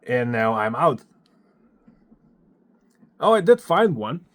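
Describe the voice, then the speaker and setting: A man's voice: mid-range voice, sing-song, takes it slowly, fairly bright tone. A young man with a Dutch accent vlogging casually from his small home studio, chatty and self-deprecating, a little out of breath. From Netherlands. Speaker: music producer vlogger. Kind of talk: casual vlog talking to camera. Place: small home studio with acoustic foam, indoors. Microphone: handheld phone at arm's length.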